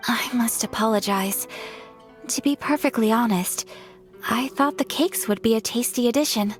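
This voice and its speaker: A woman voicing a refined, composed young noblewoman in a video game, formal, earnest and polite, with a soft, breathy voice. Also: a little high, normal speed, close to an everyday voice. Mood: gentle, earnest. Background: background music.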